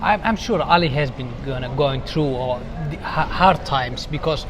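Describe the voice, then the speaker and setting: A man interviewing in halting, repeating yeah a lot and asking casual questions. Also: mid-range voice, noisy background. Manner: broken English, many repeated yeahs.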